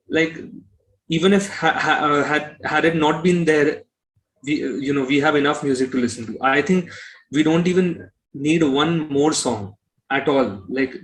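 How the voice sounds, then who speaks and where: A man's voice: on the high side, poor audio. A man speaking as an interview guest, blunt and opinionated, often repeating himself. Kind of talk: conversational interview.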